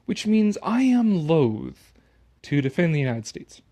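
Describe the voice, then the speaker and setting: A man's voice: on the high side, very animated, a little slow, dark tone. A Canadian man giving casual, opinionated commentary on an article he reads aloud, sarcastic, exasperated and given to swearing.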